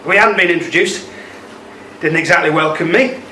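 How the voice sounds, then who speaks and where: A man's voice: fairly high pitch, moderate pace, sharp tone. A man playing an older ex-army husband in a radio play, regretful and haunted by a lost love, speaking with hesitant emotion. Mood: haunted, bitter. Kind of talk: amateur radio play acting. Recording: roomy, distant mic, faint noise.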